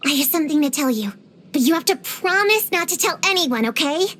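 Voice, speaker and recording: A woman's voice: high-pitched, animated, a little fast, fairly bright tone. A woman voicing a precocious, feisty little girl in a video game, earnest and theatrical with grown-up wording. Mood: earnest, chatty. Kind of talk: scripted video game character voice lines.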